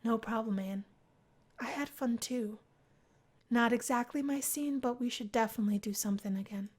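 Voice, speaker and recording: A woman's voice: fairly high pitch, normal speed, a little mellow, close to an everyday voice. A woman voicing a joking, laid-back young male college student in a visual novel read-through. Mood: friendly.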